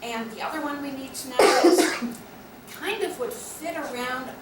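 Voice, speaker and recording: A woman at a club meeting talks through upcoming plans in a measured, practical way. Her voice sits a little high and comes from far off across an echoing room. There is a steady noise behind her. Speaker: guild officer presenting education plans. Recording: echoey room, distant mic, noisy.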